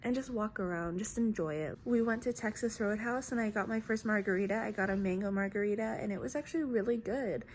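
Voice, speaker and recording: A woman's voice: medium pitch, fast talker, few pauses, a little mellow, a little hiss or hum. A woman in her twenties chatting casually to a phone camera in a vlog, rambling with lots of "like" fillers. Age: 20s. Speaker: vlogger. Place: indoors and in a car.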